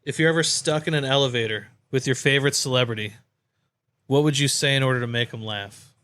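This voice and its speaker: A man's voice: medium pitch, fast talker, a bit bright, no room sound. Joking male podcast co-host, mid-range voice. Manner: joking, teasing. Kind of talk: casual sports podcast chat.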